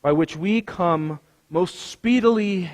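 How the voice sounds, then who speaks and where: A man, a pastor, giving a solemn, declamatory devotional reading with deliberate, measured phrasing at a church service. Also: sits high. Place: large church during a service. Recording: long reverberant church hall.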